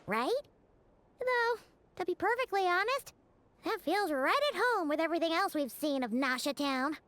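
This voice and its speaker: A woman voicing a small, excitable, childlike fairy companion in a video game, with a squeaky, expressive voice. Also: no room sound, full character voice. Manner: refers to herself in the third person, expressive. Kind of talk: video game character dialogue.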